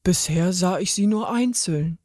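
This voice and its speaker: A big, clumsy male troll with a soft heart talks slowly and a little low, puzzled by everything, as a woman reading the story puts him on. The sound is dry and close. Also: no echo. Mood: bewildered.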